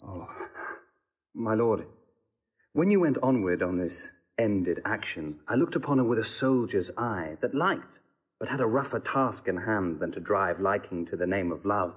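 Young noble soldier, lovestruck, earnest, husky lowish voice, classical audio play. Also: male voice, animated, unhurried. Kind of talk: classical Shakespearean audio drama acting.

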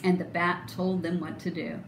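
A middle-aged woman reading a children's picture book aloud expressively, with deliberate, dramatic emphasis. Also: fairly low pitch, level tone. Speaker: storybook reader. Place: indoor room, voice a little echoey. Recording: roomy echo, clear background noise.

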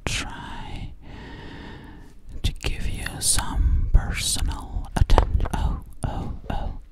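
A sweet, slightly sassy alien girl played by a young woman whispers in a soothing ASMR roleplay. She speaks extremely slowly and breathily, right up close to the microphone, lingering on words and repeating them. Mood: soft, coaxing. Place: small dim living room, indoors. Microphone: close studio microphone with foam cover, binaural.

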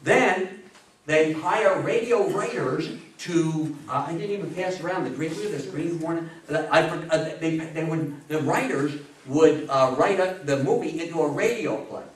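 High-pitched enthusiastic man, echoey room. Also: measured pace. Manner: enthusiastic, exclamatory, asks the audience questions. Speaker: lecturer. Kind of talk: animated informal talk to an audience. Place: indoor meeting room before a seated audience. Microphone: distant camera mic. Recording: echoey room, distant mic, audience noise.

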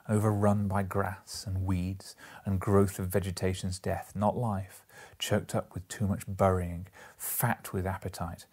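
Low, gravelly man narrating. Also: a little mellow. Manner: measured, solemn storytelling. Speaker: storybook reader. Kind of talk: dramatic literary narration. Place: small indoor room, seated in an armchair.

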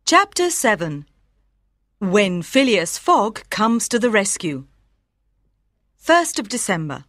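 Clear female audiobook narrator, dry sound. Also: medium pitch, fairly bright tone, no room sound. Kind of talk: scripted story narration for language learners.

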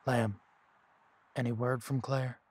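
A steady werewolf leader, played by a man in an audio drama. His pitch stays very level. He speaks deliberately, with calm, protective authority. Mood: calm, commanding. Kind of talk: audio roleplay drama acting.

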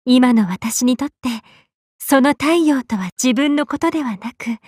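High-voiced, affectionate sun goddess. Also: female voice, unhurried, no room sound, studio quality. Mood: tender, devoted. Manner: swings between excited exclamations and gentle, tender speech. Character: tall, bubbly, affectionate big-sisterly Aztec sun goddess. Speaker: game character. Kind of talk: Japanese anime game voice acting.

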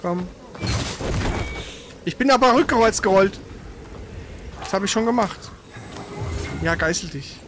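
A man's voice: high-pitched, expressive, slow pace, long pauses, fairly bright tone, a little hiss or hum. A man hosting a gaming livestream with casual live commentary, rambling and often stumbling into self-corrections.

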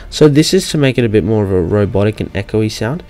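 A young man giving an informal, slightly hesitant step-by-step software walkthrough as a tutorial host. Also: on the low side, expressive, quick pace. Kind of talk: casual software screen-recording walkthrough.

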